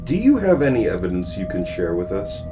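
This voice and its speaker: A polished newsmagazine anchor in an audio drama. His low voice delivers scripted news with grave, measured emphasis and widely swinging intonation. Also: wildly swooping pitch, over music. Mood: probing. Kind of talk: scripted broadcast news narration.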